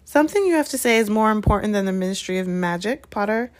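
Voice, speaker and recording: A woman's voice: mid-range voice, animated, fast talker, close to an everyday voice. A stern older lady professor from a storybook, as a woman reads her. She is formal and clipped, coldly authoritative and very proper.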